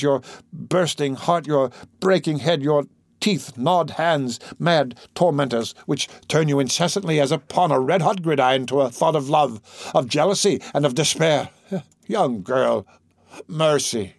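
A man's voice: fairly high pitch, moderate pace, dry recording, studio quality, voice pushed up. A man voicing a tormented, pleading priest. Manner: impassioned, pleading theatrical declamation. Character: anguished male priest, desperate obsessive lover. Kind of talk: audiobook character reading.

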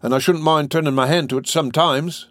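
Man putting on a high-pitched, cocky young lout. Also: fairly even intonation, brisk, a bit bright, no echo, studio quality, natural voice. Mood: wary, angling. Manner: self-important, colloquial, questioning. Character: cocky, greedy, cowardly young male lout.

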